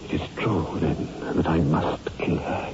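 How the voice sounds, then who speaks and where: A man's voice: extremely low pitch, fairly even intonation, measured pace. A man playing a tormented, desperate surgeon in a 1960s radio horror drama, speaking with urgent agitation. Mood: desperate, agitated. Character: tormented male surgeon, fearful, desperate, obsessed with death. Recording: muffled, noisy old radio recording.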